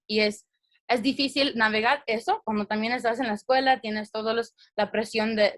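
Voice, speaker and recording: A young woman gives a community presentation in a fairly high voice. She speaks earnestly but haltingly, pausing on fillers and restarting her phrases. The sound is close and dry, with no room echo. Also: a bit bright.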